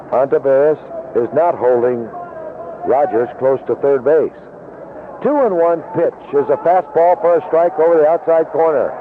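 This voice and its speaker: A man calling baseball play-by-play for radio in clipped, descriptive phrases with pauses between plays. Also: a little high. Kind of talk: live sports commentary. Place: outdoor ballpark broadcast booth. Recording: noisy narrow-band 1970s AM radio broadcast.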